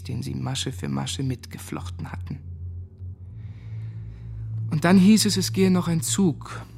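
Haunted young man, creaky voice. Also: mid-range voice, darkish tone. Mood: sombre, bitter. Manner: sombre, literary recollection. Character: haunted young man, former Nazi boarding-school pupil. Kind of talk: German radio drama monologue.

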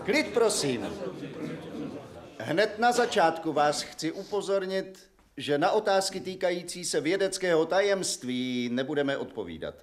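A man playing an officious press conference chairman in a radio play, formal, brisk and commanding. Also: on the high side, very animated.

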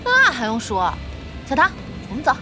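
A woman playing an excitable, blunt young gamer and devoted fan in an audio drama. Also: high-pitched, moderate pace. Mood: teasing. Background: music.

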